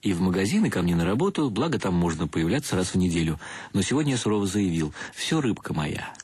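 Low, husky wry male narrator. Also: sing-song, fast talker, darkish tone, full character voice. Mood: mock-stern. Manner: ironic, mock-solemn, ornate phrasing. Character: wry male intellectual bridegroom, self-mocking and verbose. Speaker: actor narrating a radio drama. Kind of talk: first-person literary narration, radio play.

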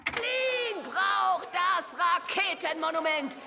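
A woman voicing a bombastic nationalist missile, shouting a declamatory propaganda speech on a demo tape. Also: very high voice, lively intonation, takes it slowly, crowd noise, noisy background, voice pushed up, exaggerated voice. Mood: fanatical. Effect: played back as a demo tape.

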